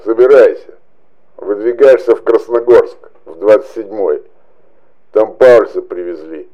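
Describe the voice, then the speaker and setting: A man's voice: on the low side, measured pace, lo-fi sound. A man playing a blunt, earthy army colonel giving orders over the telephone in a radio play. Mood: gruff, ordering. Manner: blunt, profane, bossy. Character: gruff, earthy male NKVD colonel.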